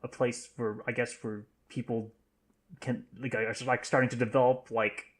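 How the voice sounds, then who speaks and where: A man's voice: mid-range voice, flat delivery, moderate pace. A man in a casual panel chat, speaking hesitantly and searching for his words. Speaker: podcast panelist.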